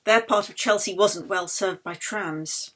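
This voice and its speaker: A woman reading her own novel as expressive audiobook narration. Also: mid-range voice, measured pace.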